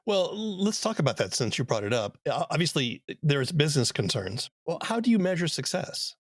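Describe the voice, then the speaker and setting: A man's voice: on the high side, big pitch swings, fairly quick, no room sound, studio quality. A man hosting an interview podcast, moving between questions and scripted narration.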